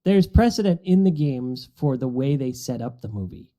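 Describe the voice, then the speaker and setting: Mellow-voiced man explaining casually. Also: mid-range voice, lively intonation, moderate pace, highs cut off. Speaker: podcast panelist. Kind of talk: casual explanatory panel chat.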